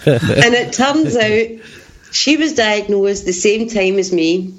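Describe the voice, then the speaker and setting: A woman with a big, loud voice, telling her story in an animated way as an interview guest and laughing as she goes. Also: mid-range voice, slow. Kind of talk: casual storytelling.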